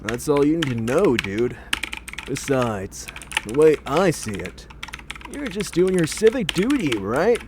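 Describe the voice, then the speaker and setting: A man's voice: on the high side, very animated, a little slow. A man voicing a cocky, slangy young computer hacker in a comic dub, glib and joking. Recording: clear noise, keyboard typing behind.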